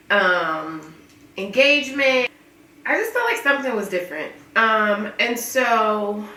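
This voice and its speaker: A woman's voice: on the high side, bright, forceful tone, roomy sound, distant mic, rough recording. A young woman in a casual vlog, sounding stuffy and congested from a cold, venting frankly with lots of ums. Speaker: beauty vlogger. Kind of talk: casual vlog talk to camera.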